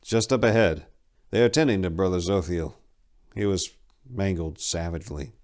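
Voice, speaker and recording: A man's voice: low voice, normal speed, darkish tone, natural voice. A man voicing an earnest, devout warrior monk with a solemn, emphatic delivery in a dramatic story reading.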